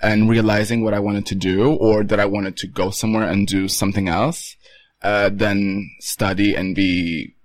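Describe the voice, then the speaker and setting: A young man from Portugal, a photographer, talking casually as an interview guest with many pauses and restarts. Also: fairly low pitch, level tone, no echo.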